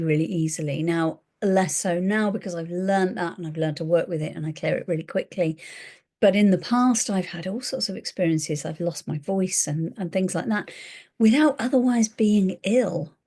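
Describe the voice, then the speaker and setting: Middle-aged woman, warm mellow tone, earnest chatty advisor talking to camera. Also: mid-range voice. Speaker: spiritual advisor. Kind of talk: casual talk to camera.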